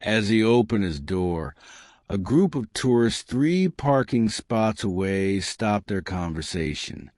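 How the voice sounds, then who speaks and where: A man narrating a scripted comic story with dramatic, deadpan delivery and deliberate pauses. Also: on the low side, dry recording, studio quality.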